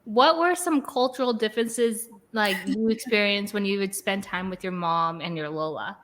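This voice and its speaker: A woman's voice: mid-range voice, normal speed, fairly bright tone. A woman hosting a podcast, asking short, curious follow-up questions. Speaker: podcast host. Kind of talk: interview questions.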